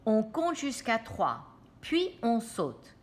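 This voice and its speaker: Lady doing excitable hungry young slacker guy from a kids storybook, pitched up, really animated. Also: slow, full character voice. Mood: excited. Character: excitable, hungry young man, cartoon slacker and dog's pal. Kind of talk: storybook read-aloud for children.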